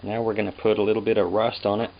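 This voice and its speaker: A man casually explaining guitar repair work in a halting, unscripted, plain-spoken way, as a craftsman hosting his own demonstration. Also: lowish voice, little pitch movement. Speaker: guitar repairer and restorer, host. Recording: dull lo-fi sound with hum and noise.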